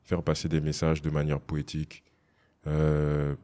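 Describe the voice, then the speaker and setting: A young man from Togo, a rapper, chatting casually in an interview, relaxed and slangy, often adding "you see". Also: rumbling low, unhurried. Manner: relaxed, slangy, drops English phrases, many "tu vois". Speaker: rapper guest.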